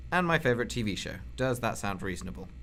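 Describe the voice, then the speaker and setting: An actor playing a weary police detective who interrogates a suspect in a blunt, sardonic way, in an amateur radio drama. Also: male voice, lowish voice, sing-song, fast, gravelly, a bit bright, a little hiss or hum, full character voice. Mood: pressing.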